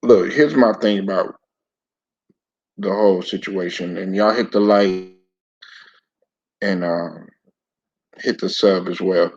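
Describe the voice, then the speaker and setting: Raspy-voiced man with a throat bug. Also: deep voice, slow pace, long pauses, a little mellow. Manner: laid-back, stop-start, repeats words. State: hoarse from a sore throat, clearing throat. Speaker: sports commentary channel host. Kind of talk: casual live-stream talk to viewers. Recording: dull lo-fi home recording.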